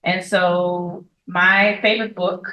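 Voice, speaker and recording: A woman's voice: fairly low pitch, little pitch movement, slow pace, lo-fi sound. A woman who works as an education consultant introduces herself in a cheerful, chuckling way.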